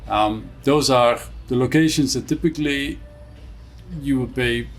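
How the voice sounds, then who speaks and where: A man from the Netherlands with a Dutch accent, answering interview questions as a company chief in a measured, explanatory way and repeating words as he forms his thoughts. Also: medium pitch, faint music behind. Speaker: chief executive of a hotel company, interview guest.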